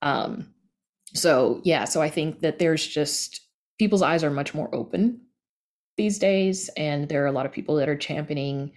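Low-voiced American woman in conversation. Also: moderate pace, a little mellow. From East Texas, United States. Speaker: podcast guest, audio drama creator. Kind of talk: casual interview conversation.

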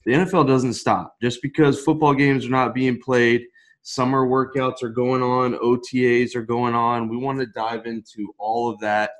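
A man's voice: mid-range voice, level tone, moderate pace, low-quality recording. A man hosting a casual sports talk show, upbeat and steering the conversation with questions. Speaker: podcast host.

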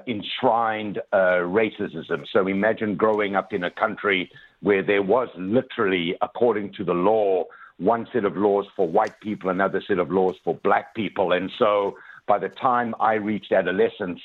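A man's voice: sits low, fairly even intonation, normal speed. A man in his sixties, a motivational speaker telling his life story as a radio interview guest, earnest, with frequent "uh" fillers. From South Africa. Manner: earnest, many "uh" fillers, addresses the host by name. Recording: tinny phone line.

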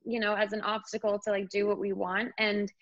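A woman's voice: mid-range voice, little pitch movement, a little fast, a bit bright, no echo. A young woman in casual conversation about her acting work, talking in a loose, chatty way with lots of "like.". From India. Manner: chatty, fillers like "like". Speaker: actor guest. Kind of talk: casual chat. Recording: slightly dull, lo-fi sound.

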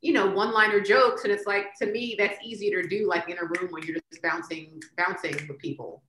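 Woman, stand-up comic, bright voice, chatty with fillers, roomy echo. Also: mid-range voice, steady tone, brisk, a little hiss or hum. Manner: laughs often, chatty with frequent fillers. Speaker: stand-up comedian, podcast guest. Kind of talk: casual comedic chat.

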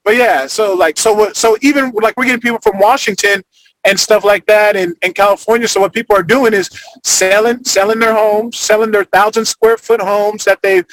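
High-voiced man chatting loosely on a podcast. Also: moderate pace, no echo, poor audio. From Arizona, United States. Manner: relaxed, rambling, many fillers and restarts. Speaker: podcast guest, former football player. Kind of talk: casual podcast conversation.

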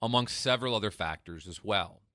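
A man hosting a retirement finance radio show, pitching a free tax analysis and explaining when to claim benefits. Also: fairly quick, bright, forceful tone, no echo, studio quality. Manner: persuasive sales pitch, then explanatory. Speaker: financial advisor radio host.

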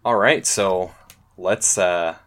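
Homem de voz bem grave, conversa solta. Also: male voice, low voice, takes it slowly, a bit bright. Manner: rambling, many fillers and restarts. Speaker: podcast host. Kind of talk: casual podcast chat.

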